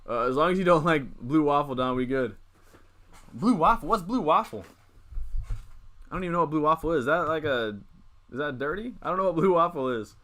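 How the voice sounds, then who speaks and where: A man's voice: fairly high pitch, lively intonation, fairly quick, pauses often, a bit bright. A young man in his twenties hosting a casual live stream, rambling as he reads and answers the chat. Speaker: livestream host.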